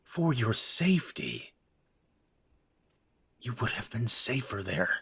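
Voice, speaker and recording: A man plays a stubborn middle-aged dad in an audio drama. His voice is husky and rough, slow and deliberate, with a pitch that swings widely between blunt scolding and halting, hesitant pauses. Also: big pitch swings, bright, forceful tone, dry recording, heavily acted. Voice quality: raspy. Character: gruff stubborn middle-aged human father. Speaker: voice actor.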